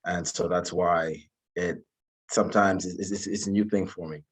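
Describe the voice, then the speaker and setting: A man, giving an informal talk about his own story in a polite, humble way, often halting and repeating words. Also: low voice, steady tone, breathy edge. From Bahamas. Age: 20s. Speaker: guest speaker, alumnus.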